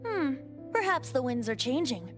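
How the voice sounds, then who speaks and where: Game role: impish, theatrical young male bard, high-pitched and very animated, breezy temper. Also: normal speed, faint music behind. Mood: teasing, sly. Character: carefree, playful, tipple-loving young immortal bard boy. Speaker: video game character. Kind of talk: scripted game voice acting.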